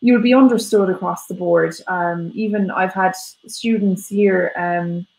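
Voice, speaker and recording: A woman with an Irish accent, a language teacher, explaining things in a relaxed, reassuring way. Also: medium pitch, unhurried, reverberant, low-quality recording. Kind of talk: casual explanatory chat.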